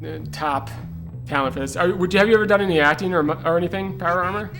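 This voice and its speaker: A man's voice: sits high, fast talker, a bit bright. A man giving rambling, casual live commentary while speedrunning a game, with lots of "like" and "uh" fillers. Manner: chatty and rambling, full of "like" and "uh"; laughs at himself between points. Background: game music and sound effects.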